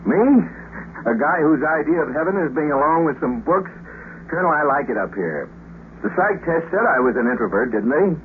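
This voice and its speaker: Breezy astronaut on old noisy radio. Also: male voice, fairly high pitch, big pitch swings, a little fast. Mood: relaxed, chatty. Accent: American. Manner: breezy, joking, colloquial. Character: laid-back wisecracking solitary astronaut captain. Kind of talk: 1950s radio drama acting. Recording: muffled, noisy 1950s radio recording.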